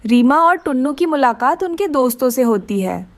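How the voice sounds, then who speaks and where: A woman's voice: high voice, lively intonation, normal speed, no echo. A woman narrating a scripted children's story.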